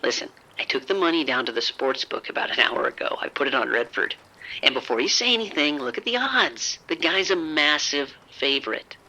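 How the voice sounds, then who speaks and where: Man acting a cocky, animated, high-voiced gambler. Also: brisk, exaggerated voice, low-quality recording. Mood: coaxing, cocky. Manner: persuasive, breezy, coaxing. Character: cocky, smooth-talking male gambler, reckless and breezy. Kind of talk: audio drama acting.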